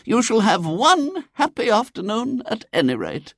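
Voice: medium pitch, wildly swooping pitch, unhurried, fairly bright tone, no echo, clean studio sound, pitched above the performer's own voice, heavily acted. A storyteller voicing a prim elderly lady in an audiobook, earnest, preachy and fussily sympathetic. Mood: pitying.

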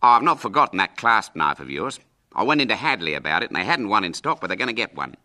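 Man voicing a hearty, breezy, bright-voiced uncle. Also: fairly low pitch, big pitch swings, heavily acted. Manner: loud, brisk, exclaiming.

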